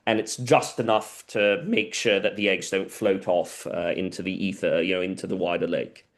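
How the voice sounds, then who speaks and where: Brief: male guest lecturer, Spanish first language, lowish voice, informal and explanatory. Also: fairly even intonation, normal speed. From South America. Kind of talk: answering audience questions at a seminar.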